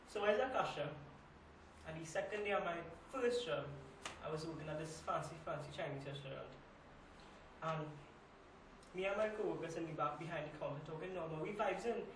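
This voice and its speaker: A man plays a young, shaken restaurant cashier telling a story in an amateur stage monologue. The voice is high, colloquial and Trinidadian. It comes from a distance in a very roomy space. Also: brisk, natural voice. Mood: uneasy, hushed. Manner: colloquial, repetitive, emphatic. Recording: echoey room, distant mic, faint noise.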